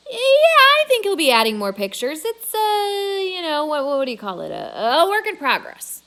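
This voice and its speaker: A woman's voice: very high-pitched, wildly swooping pitch, moderate pace, sharp tone, voice pushed up, full character voice. A woman voicing a blustering, opportunistic male mall owner with brash salesman talk, in a children's novel read aloud. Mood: eager, boastful.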